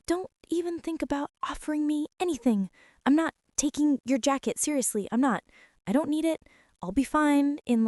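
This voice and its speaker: A woman's voice: fairly high pitch, a little mellow, no room sound. A young woman playing a prickly, defensive teenage school bully in an intimate girlfriend ASMR roleplay, sulky and sarcastic, close to the mic. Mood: flustered, defensive. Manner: sulky, sarcastic, huffy, with sighs and whispers.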